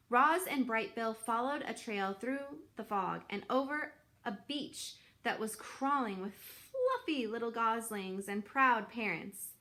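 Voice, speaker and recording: A woman narrating a children's book aloud in an expressive storyteller style. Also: mid-range voice.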